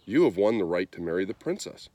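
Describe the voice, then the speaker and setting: A man voicing a jovial, kindly king in a storybook, grand and expressive in manner. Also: sits low, fast, no room sound. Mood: grandly approving.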